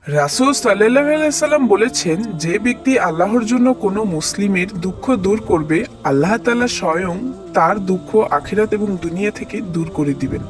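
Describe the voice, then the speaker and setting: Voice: medium pitch, expressive, fairly quick, music in the background, natural voice. A performer voicing a kindly, pious uncle who sells street snacks in a children's cartoon, benevolent and encouraging. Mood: compassionate. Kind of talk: children's moral cartoon dialogue.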